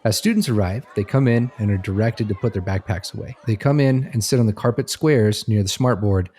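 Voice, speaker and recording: A man's voice: on the low side, fairly quick, mellow, husky. A man reading scripted second-person narration that sets out a scene step by step.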